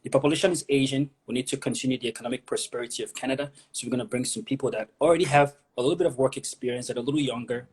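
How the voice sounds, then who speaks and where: Husky-voiced man explaining immigration steps. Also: mid-range voice, fairly even intonation, racing, few pauses. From Nigeria. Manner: explanatory, repeats words while thinking. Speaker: immigration consultant. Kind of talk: interview guest explaining.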